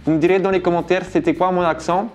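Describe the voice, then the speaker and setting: A man's voice: high voice, little pitch movement, fast talker, faint music behind, close to an everyday voice. A man voicing Francesco, a goofy Italian character in a cheap disguise, with a put-on Italian accent and a playful, clowning manner.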